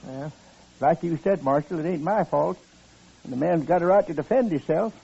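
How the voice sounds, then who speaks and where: An actor playing a stubborn, proud, hunted homesteader in a radio western, husky and gravelly, with an American accent. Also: male voice, on the high side, normal speed, warm tone, slight background noise. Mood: defiant. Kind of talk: 1950s radio western acting. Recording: muffled old radio recording.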